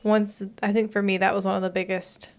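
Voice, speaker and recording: A young woman co-hosting a podcast, gravelly, with a flat, even delivery. She talks in a reflective, soft-spoken way, dotted with fillers. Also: mid-range voice, fairly quick. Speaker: podcast co-host. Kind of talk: casual chat.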